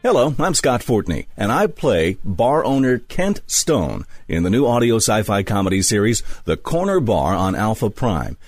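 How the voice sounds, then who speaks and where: A man's voice: on the low side, sing-song, moderate pace, few pauses, a little mellow, no echo, clean studio sound. A man playing a wry, put-upon alien-world bar owner in a scripted sci-fi comedy promo. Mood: wry, inviting. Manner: wry, direct address to listener.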